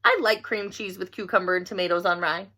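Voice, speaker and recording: A woman's voice: medium pitch, steady tone, brisk, sharp tone, voice pushed down. A woman voicing a friendly, upbeat schoolboy in a children's storybook, with exaggerated expression. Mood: chipper. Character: Albert, friendly schoolboy proud of his neat lunch.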